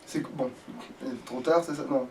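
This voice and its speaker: High-voiced sweary man gaming, distant mic. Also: steady tone, normal speed. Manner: sweary, grumbling. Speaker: let's play gamer. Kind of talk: casual gaming commentary while playing. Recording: distant mic, faint background noise.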